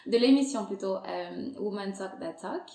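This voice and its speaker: A woman in her thirties from Angola, a podcast guest who talks in a lively casual way with many fillers and frequent laughs. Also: mid-range voice, moderate pace, gravelly. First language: Portuguese. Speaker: podcast guest, content creator. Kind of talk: casual interview chat. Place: Montreal. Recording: echoey room.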